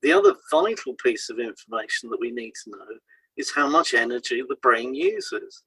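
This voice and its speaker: A man's voice: mid-range voice, very animated, normal speed, pushed and bright, husky, no room sound, poor audio. A man co-hosting an educational podcast, reading scripted explanations and stressing key points. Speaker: podcast co-host, hypnotherapist.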